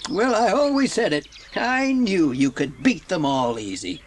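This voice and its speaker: A woman voicing a gentle old farmer man in an audiobook story, speaking in an unhurried way. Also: lowish voice, very animated, a little hiss or hum. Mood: quietly proud.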